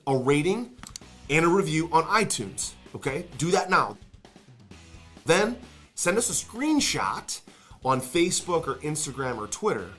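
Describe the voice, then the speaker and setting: A man's voice: fairly high pitch, a bit bright. A man hosting a podcast in an upbeat interview style, enthusiastic and affirming as he talks. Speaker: podcast host.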